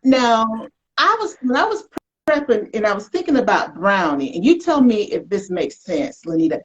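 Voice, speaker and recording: A woman's voice: mid-range voice, sing-song, normal speed, highs cut off. An American woman hosting a casual interview in a chatty, playful, folksy way. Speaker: talk show host.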